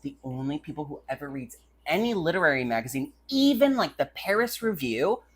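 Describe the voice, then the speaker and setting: Low, husky-voiced woman chatting casually. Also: very animated, a little fast. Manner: conversational, heavy use of "like". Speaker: podcast co-host. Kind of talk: casual opinionated banter.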